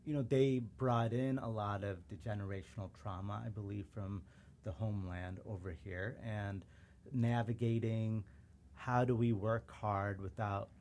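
Earnest man with a mellow low voice. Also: steady tone, measured pace. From suburban Chicago, USA. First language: Urdu. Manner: reflective, earnest, acts out quoted remarks. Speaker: podcast guest, civil rights lawyer. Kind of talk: casual interview conversation.

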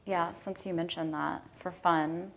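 A woman's voice: lowish voice, fairly even intonation, measured pace, creaky, slight background noise, rough recording. A woman moderating a panel discussion in a chatty, informal way, teasing as she goes.

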